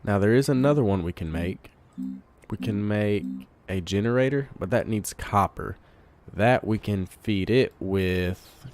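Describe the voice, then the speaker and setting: A man with a congested voice from a cold, giving relaxed let's play commentary, thinking aloud while he plays. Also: low voice. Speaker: gamer.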